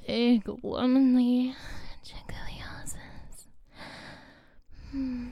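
A woman speaks slowly and teasingly, her fairly high voice carrying a gravelly fry. She keeps slipping into close whispers and soft giggles. The tone is warm and mellow, recorded very dry. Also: no room sound. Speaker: audio content creator. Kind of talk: intimate scripted audio roleplay.

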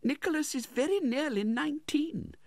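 A man's voice: expressive, normal speed, darkish tone, no echo. A man voicing a timid, tearful, genteel widowed mother in a storybook, faltering and pleading. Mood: faltering, sad. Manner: faltering, pleading, shift+ high voice.

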